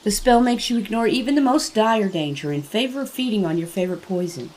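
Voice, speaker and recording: A woman playing an earnest female bard and spellcaster in a fantasy audio drama, with a soft, husky voice. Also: medium pitch, animated, fast, close to an everyday voice. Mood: grave.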